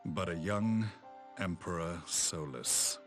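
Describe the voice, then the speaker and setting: An actor playing a proud, repentant former imperial commander in a video game, speaking in a solemn, formal, measured way. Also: male voice, low voice, over music. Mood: grim. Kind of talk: video game cutscene acting.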